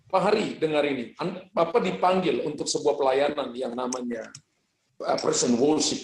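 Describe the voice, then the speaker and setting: A man's voice: fairly high pitch, gravelly. Echoey-voiced pastor man declaring. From Ambon. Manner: earnest, declaring, slowing on key words. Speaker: guest pastor. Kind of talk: prophetic prayer ministry on a video call. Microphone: video call.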